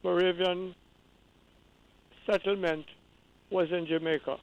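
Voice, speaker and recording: A man's voice: sits high, steady tone, takes it slowly, dry recording, muffled recording. A man from Guyana calling in to a radio talk show, explaining at length with earnest repeated restarts. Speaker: caller.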